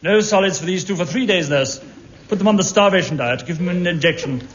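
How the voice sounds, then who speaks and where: A man's voice: sits high, brisk, fairly bright tone, a little hiss or hum. A man playing a brusque, disapproving hospital doctor in a 1950s radio comedy. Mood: scolding. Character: stern, scolding hospital doctor. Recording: dull vintage radio recording.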